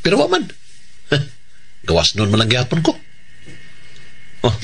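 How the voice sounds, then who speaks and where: A man's voice: medium pitch, wildly swooping pitch, a little slow, gravelly, fairly bright tone, slight background noise, full character voice. A man with a Cebuano Filipino accent playing a cocky, teasing criminal in a radio drama, bantering and swaggering. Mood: cocky, defiant. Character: cocky, teasing male criminal, reckless about danger.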